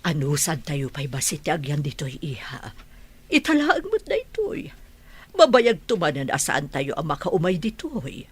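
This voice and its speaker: A woman plays a weary mother in a radio drama. Her voice sits low and sounds husky and rough-edged. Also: wildly swooping pitch, normal speed, dry recording, exaggerated voice. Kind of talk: Ilocano radio drama acting.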